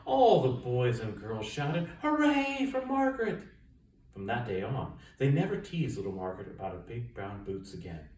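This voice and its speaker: Male storybook reader, very lively delivery, distant mic with room echo. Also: fairly low pitch, normal speed, few pauses. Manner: expressive, dramatic emphasis and sound-effect words. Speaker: author reading own story aloud. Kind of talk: children's storybook read-aloud. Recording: roomy echo, distant mic, faint noise.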